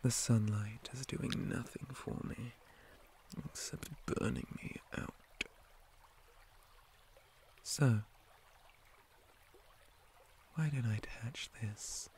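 Slow, whispering male spider monster, acted by a man. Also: mid-range voice, darkish tone, a little hiss or hum. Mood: controlling. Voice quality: breathy, mostly whispered. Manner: slow, deliberate, theatrical menace. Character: possessive, menacing male spider monster captor. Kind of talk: ASMR roleplay, whispered intimate monologue.

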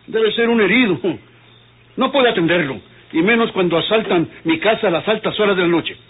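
An actor playing a proud, indifferent, ageing rich doctor in an old radio drama, speaking formally and indignantly. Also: male voice, sits high, brisk, slightly rough. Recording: tinny narrow-band old radio drama, faint noise.

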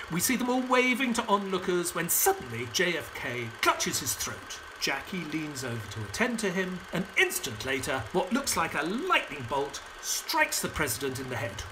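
An actor playing a genial, inquisitive sidekick who narrates an audio play in an earnest storytelling manner. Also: male voice, on the high side, noisy background.

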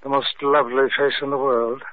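An eerie, reverent butler, played by a man with a medium-pitched voice. He is formal and entranced, heard through a tinny, narrow vintage radio recording. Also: little pitch movement, fairly quick, no echo, lightly acted. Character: eerie, devoted gaunt butler entranced by a painting. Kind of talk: old radio mystery acting.

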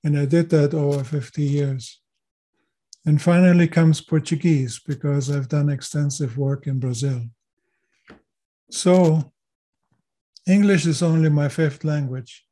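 A man in his seventies from Chile, a native German speaker, giving measured interview answers as a guest. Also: fairly high pitch, fairly even intonation, warm tone. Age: 70s. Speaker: GIS consultant and lecturer, interview guest.

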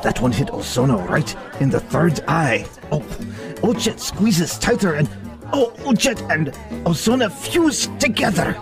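A man playing an excitable teacher narrating to his class in a theatrical radio comedy style. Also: on the high side, measured pace, vocal fry, over music, full character voice. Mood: excited. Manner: exclamatory, theatrical.

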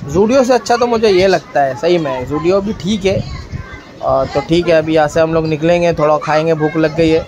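A young man narrating a casual vlog, chatting about traffic and the crowds while he shops. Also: a little high. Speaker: vlogger. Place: car in traffic, then crowded shopping mall. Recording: loud crowd and street noise.

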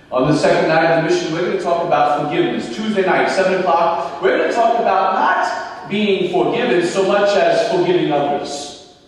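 Animated preacher in echoey church. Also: male voice, distant mic. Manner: animated, gesturing widely, sweeping pitch swings from high exclamations to low asides; humorous asides. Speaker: priest and mission preacher. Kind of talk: parish mission announcement sermon. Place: large church sanctuary, at the pulpit. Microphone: small headset mic.

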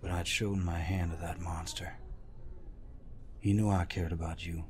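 An actor playing a gruff, protective older war veteran in an intimate audio roleplay, deep-voiced, tender and teasing. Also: male voice, level tone, a little slow, darkish tone, a little hiss or hum. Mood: troubled, confessing.

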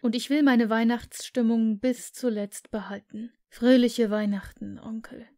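A woman reading the part of a cheerful, warm-hearted young man who speaks earnestly and pleadingly. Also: a little high, fairly even intonation, unhurried.

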